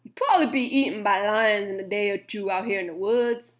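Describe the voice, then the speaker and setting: A woman's voice: mid-range voice, a little fast, close to an everyday voice. A woman voicing a serious, grumpy male farmer in a storybook read-aloud, speaking sternly.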